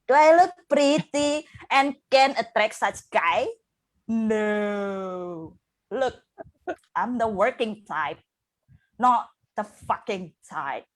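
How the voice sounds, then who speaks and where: A woman's voice: medium pitch, takes it slowly. A woman doing amateur stand-up banter in a blunt, self-deprecating manner. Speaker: amateur open-mic comedian. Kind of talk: live stand-up comedy banter.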